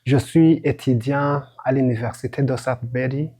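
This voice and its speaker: A man from Burundi speaks at an ordinary, middle pitch. He gives an earnest personal testimony in simple, direct phrasing. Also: fairly even intonation, normal speed, mellow, slightly rough. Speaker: student.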